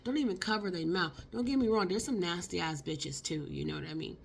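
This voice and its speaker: A woman, hoarse from a cold, telling a casual, slangy story for her vlog. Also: on the low side, a little fast, a little hiss or hum. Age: 50s. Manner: chatty, expressive, slangy. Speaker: vlogger.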